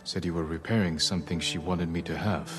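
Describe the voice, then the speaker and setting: An actor playing a stern young samurai lord who speaks in a calm, clipped, formal way. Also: male voice, low voice, brisk, over music, natural voice. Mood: steady.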